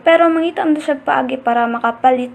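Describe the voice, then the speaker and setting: A woman's voice: sits high, fast talker, vocal fry, slight background noise. A woman with a Cebuano accent playing a sweet, clingy young girlfriend in a student radio drama. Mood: chatty.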